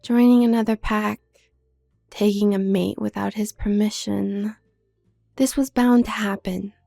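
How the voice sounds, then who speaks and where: A woman playing a haughty, manipulative younger werewolf sister from a noble family in an audio drama, speaking with condescending, needling smoothness. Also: medium pitch, steady tone, unhurried, warm tone, soft background music. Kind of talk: scripted audio roleplay drama.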